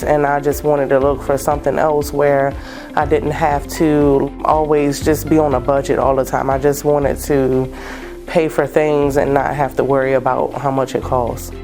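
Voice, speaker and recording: A woman telling her career story in a matter-of-fact way. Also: low-pitched. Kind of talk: testimonial. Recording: soft background music.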